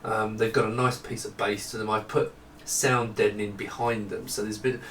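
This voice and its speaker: A man's voice: lowish voice, little pitch movement, normal speed, a bit bright. English male presenter, informal rambling explanations, unscripted demo, set back from the mic, faint background noise. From England. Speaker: presenter of his own product design video. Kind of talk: casual unscripted product demonstration to camera. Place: indoor room with studio lighting. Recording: roomy echo, distant mic, faint noise.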